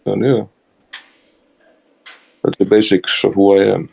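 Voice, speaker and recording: Icelandic guy being interviewed, deep creaky voice, bit mellow, plain hesitant answers. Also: little pitch movement, measured pace, poor audio. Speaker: interview guest, fraternity member.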